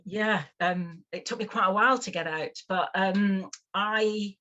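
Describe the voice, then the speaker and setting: A woman's voice: medium pitch, steady tone, measured pace, bright, forceful tone, lo-fi sound. A woman in her fifties with a Northern English accent, a guest chatting casually in an interview, with lots of fillers and restarts. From northwest England. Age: 50s.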